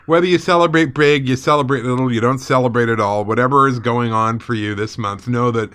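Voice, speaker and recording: A man's voice: medium pitch, steady tone, fairly quick, a bit bright, smooth. A man co-hosting a podcast, chatting casually in an informal, joking manner. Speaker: podcast co-host.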